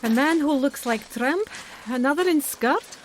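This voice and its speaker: Weary Soviet female pilot, high voice, blunt, audio drama. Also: animated, unhurried, a little hiss or hum, low-quality recording. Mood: scornful.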